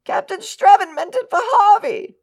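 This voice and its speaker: A woman's voice: very high voice, expressive, normal speed, a little mellow. An actor playing a timid, deferential woman who speaks hesitantly and stammers in a melodramatic radio play. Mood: tearful, pleading. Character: timid, tearful, deferential woman, a former household employee.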